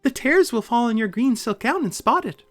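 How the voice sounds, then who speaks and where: A man voicing a kind, fussy young palace maid in a high, fluttery voice. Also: expressive, normal speed, fairly bright tone, faint music behind, heavily acted.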